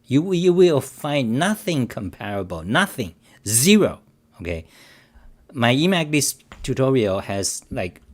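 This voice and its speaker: A man hosting his own livestream, talking off the cuff about code, reading chat aloud and answering viewers, with many restarts and emphatic repeats. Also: medium pitch. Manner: rambling, repetitive restarts, defensive and emphatic; reads chat aloud, addresses viewers directly. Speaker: live-stream talk show host. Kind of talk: unscripted coding livestream rant.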